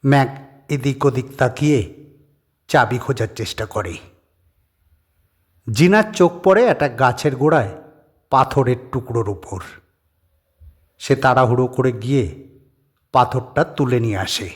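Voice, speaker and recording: A man narrating a scripted horror story as its reader, measured, pausing between short phrases. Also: mid-range voice, lively intonation, slightly rough.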